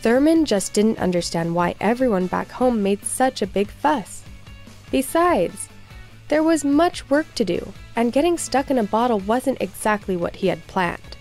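A woman reading a children's storybook aloud in scripted narration, expressive and clearly phrased for young listeners. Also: on the high side, unhurried, faint music behind.

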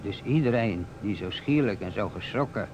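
A man's voice: fairly low pitch, lively intonation, normal speed, natural voice. A man acting a persistent, businesslike police inspector in a Dutch radio play, asking probing questions. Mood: dogged. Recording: old radio recording, faint noise.

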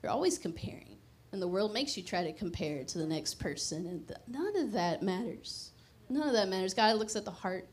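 A woman's voice: mid-range voice, lively intonation, fairly quick, hardly any pauses. A woman, preaching a conversational, emphatic sermon into a handheld mic on a church stage. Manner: conversational, emphatic, drops to a hushed prayer.

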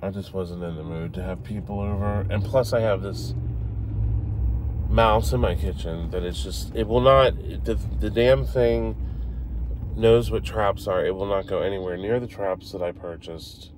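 A man in his forties with a deep, low voice rambles casually to his viewers, chatty and a little self-deprecating. He is recorded on a phone in a moving car at night. Steady engine and road noise runs beneath his voice. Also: measured pace. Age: 40s. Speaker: vlogger live streaming while driving. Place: inside a car driving city streets at night.

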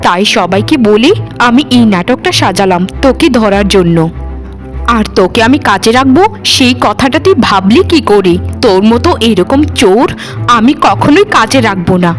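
A stern cartoon mistress of the house, acted by a woman, scornful and commanding. Her female voice sits fairly high as she scolds, over faint music. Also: lively intonation, moderate pace, lightly acted. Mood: angry contempt.

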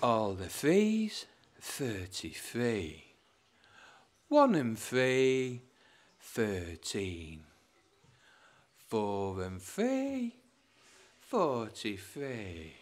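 A man's voice: lowish voice, big pitch swings, very slow, long pauses, exaggerated voice. A man playing a cheery bingo caller in a radio pantomime, chanting the numbers in a sing-song way.

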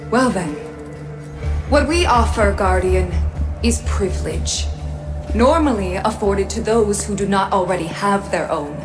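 Woman as a stern, slow-spoken android armorer. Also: medium pitch, natural voice. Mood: stern, proud. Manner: formal, cold, measured. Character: Ada-1, stern, guarded female android armorer. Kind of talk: video game character dialogue. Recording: music under the voice.

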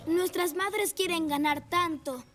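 A woman voicing an earnest young child motorcycle in a children's cartoon, speaking clearly and eagerly. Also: extremely high pitch, takes it slowly. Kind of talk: animated children's cartoon dub.

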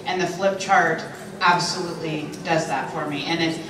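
A woman's voice: sits low, fairly even intonation, normal speed, a crowd in the background. A middle-aged woman on a convention panel, talking in a frank, chatty and enthusiastic way into a handheld mic. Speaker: panelist, sales consultant. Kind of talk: panel discussion. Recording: echoey hall, distant, noisy.

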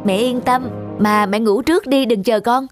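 A woman acts as a popular young office worker in a cartoon. She talks in a high, confident, casual voice with sociable ease, over noticeable music. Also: normal speed, lightly acted. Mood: reassuring. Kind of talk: animated drama dub.

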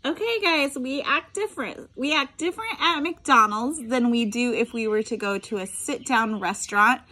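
A woman's voice: fairly high pitch, expressive, normal speed, sharp tone, rough recording. A middle-aged woman reading a picture book aloud to children, expressively, with simple explanatory asides. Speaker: special education teacher reading aloud.

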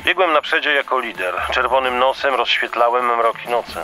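An actor playing a burnt-out, talkative reindeer who grumbles self-importantly as a phone-in caller in a radio play. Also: male voice, medium pitch, brisk, bright, forceful tone, soft background music. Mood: aggrieved, confiding. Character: talkative burnt-out male reindeer, aggrieved ex-star.

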